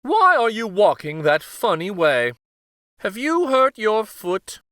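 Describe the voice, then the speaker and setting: Man playing a brisk storybook queen, bright-toned. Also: medium pitch, sing-song, no room sound, studio quality, pitched above the performer's own voice. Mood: concerned. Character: brisk, insistent, motherly queen.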